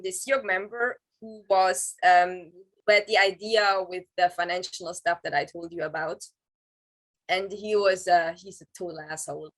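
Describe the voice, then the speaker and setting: A woman's voice: mid-range voice, a bit bright, poor audio. A woman in her thirties from Switzerland with a Swiss German accent, whose first language is Swiss German, speaking hesitantly in English as an interview guest. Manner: hesitant, many "um" pauses, searching for words. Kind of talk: casual interview over video call.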